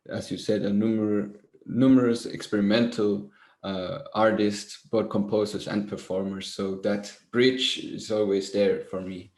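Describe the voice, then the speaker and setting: A man from Switzerland, a composer, answering interview questions over a video call from home in a measured way with many fillers. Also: fairly low pitch, a little mellow, reverberant. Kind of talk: webinar interview. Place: grandmother's house.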